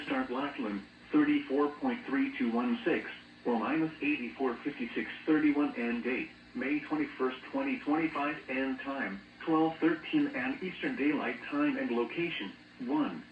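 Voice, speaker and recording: A man's voice: mid-range voice, reverberant, distant mic. An American man's synthetic voice reads a scripted weather bulletin over weather radio in a mechanical announcer's delivery. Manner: flat, mechanical synthetic delivery, reading out codes and figures. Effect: weather radio broadcast. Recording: muffled, noisy weather radio picked up off a speaker in a room.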